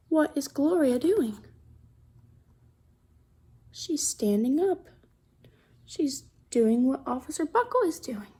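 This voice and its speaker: High-voiced, unhurried woman reading a storybook. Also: breathy edge. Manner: expressive, pauses to comment and ask questions. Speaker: children's storybook reader, teacher. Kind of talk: animated picture-book read-aloud for children.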